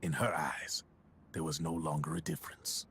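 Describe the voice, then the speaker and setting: An actor playing a sly middle-aged arms dealer, talking in a wry, husky storytelling voice. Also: male voice, rumbling low, flat delivery, moderate pace, a bit bright, exaggerated voice.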